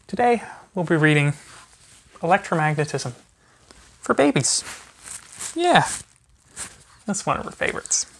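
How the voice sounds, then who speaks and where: A man in his thirties, a teacher, reading a children's book aloud with gentle, chatty asides to a baby. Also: on the high side, very animated, measured pace, creaky, long pauses, slight background noise. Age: 30s. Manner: gentle parent talking to a baby. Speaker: physics teacher. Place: small carpeted or wood-floored room at home, indoors. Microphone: phone camera a few feet away.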